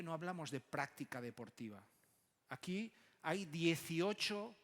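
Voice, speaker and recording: A man from moderates a conference panel, speaking haltingly and often ending on short tag questions. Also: on the high side, fairly bright tone. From Barcelona, Spain. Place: large conference room. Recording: echoey hall with long reverb.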